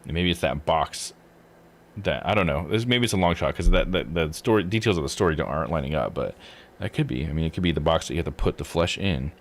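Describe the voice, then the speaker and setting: Low-voiced man rambling through game commentary. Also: animated, fast talker. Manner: rambling, thinking aloud. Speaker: game streamer. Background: music.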